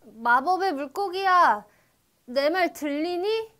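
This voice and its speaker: A woman's voice: extremely high pitch, expressive, slow pace, pushed and bright, exaggerated voice. A woman playing a meek, devoted fisherman husband who pleads, in a children's storybook. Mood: hesitant.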